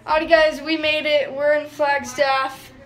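A woman's voice: high voice, little pitch movement, moderate pace, music in the background. A young woman vlogging casually about her trip, chatty and giggly, filmed on a phone at arm's length in a hotel room and a car. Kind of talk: casual travel vlog. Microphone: phone held at arm's length.

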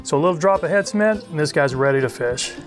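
Casual middle-aged male instructor, somewhat high voice, talks as he works, faint music underneath. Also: fast talker. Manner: relaxed, chatty, thinking aloud. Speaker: fly tying instructor. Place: outdoors on a wide river.

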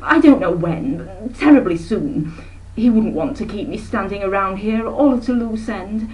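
Storybook snobbish aunt, played by a woman: middle-aged, pompous, gushing, raised pitch. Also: expressive, moderate pace, darkish tone, far from the mic, lightly acted, rough recording. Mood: breezy, fussy. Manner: gushing, pompous, talks in long runs. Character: breezy snobbish middle-aged aunt, flustered, self-important chatterer.

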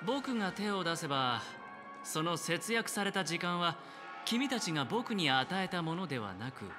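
A male actor playing a smooth, self-assured, sly young gambler in a Japanese video game, with theatrical, deliberate phrasing. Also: high-pitched, very animated, music in the background.

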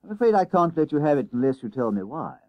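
A man voicing a calm, reasonable schoolmaster in a storybook, measured and mildly amused in his questioning. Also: fairly high pitch, dry recording, lo-fi sound, pitched above the performer's own voice.

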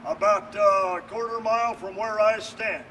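A middle-aged man giving an earnest, solemn monologue to a camera outdoors, with emphatic, deliberate phrasing. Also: high voice, fairly even intonation, sharp tone. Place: outdoors beside a building. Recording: distant mic, noisy outdoor video sound.

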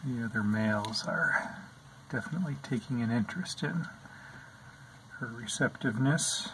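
A man calmly narrating over footage of his pet insect tanks, explaining what they do as he films. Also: medium pitch, noisy background. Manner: slow, calm, explanatory. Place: indoors at an insect tank.

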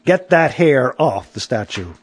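A man's voice: mid-range voice, very animated, unhurried, husky, no room sound. Man as bossy, indignant storybook police chief.

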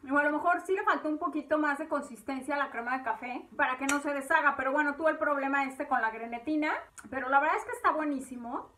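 A woman hosts a cooking video from her home kitchen. Her voice sits high, and she talks easily to the viewers as she works through each step. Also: fast, bright, forceful tone. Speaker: cooking channel host.

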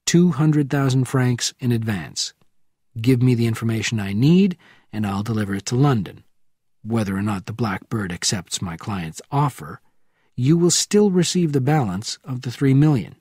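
A man reading an audiobook, voicing a tough male agent who talks in a terse, low way. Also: animated, moderate pace, a little mellow, husky, no room sound, clean studio sound. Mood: tense.

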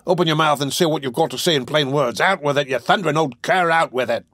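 A man's voice: mid-range voice, normal speed, a bit bright, dry recording, studio quality. Man playing a brutish, bullying housebreaker. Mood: furious. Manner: blunt, rough, bullying. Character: brutish, huge, violent male housebreaker, impatient and threatening. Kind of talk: dramatised audiobook of a Victorian novel.

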